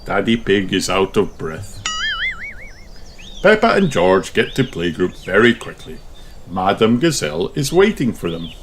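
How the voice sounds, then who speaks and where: Slow, very animated male storybook narrator. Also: low voice, soft background music. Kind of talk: scripted children's audiobook narration.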